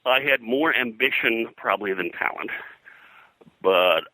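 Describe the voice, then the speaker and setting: An older American man reminiscing as an interview guest, in a halting, conversational way full of verbal filler. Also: medium pitch, bright, forceful tone, dry recording, poor audio. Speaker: interview guest, veteran comics colorist.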